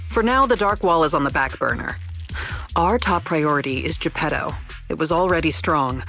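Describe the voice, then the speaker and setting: Composed, higher-voiced strategist. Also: male voice, a bit bright. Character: composed, analytical strategist briefing the team on a threat. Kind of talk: scripted game story dialogue.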